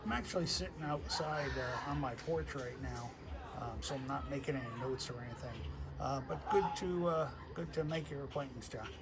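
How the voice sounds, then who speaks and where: Male radio hobbyist, mid-pitched, halting casual talk, recorded on a porch with clear background noise. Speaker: amateur radio operator. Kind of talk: casual ham radio conversation. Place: outdoors on a house porch. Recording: noisy outdoor recording with ambience.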